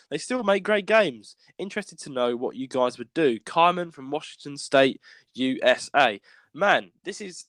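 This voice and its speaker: A man co-hosting a casual podcast, stammering and restarting often, with a sore throat he keeps clearing. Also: a little high, a bit bright, dry recording. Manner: stammering, restarts often, laughs while talking. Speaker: podcast co-host. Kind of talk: casual chat. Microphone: podcast microphone.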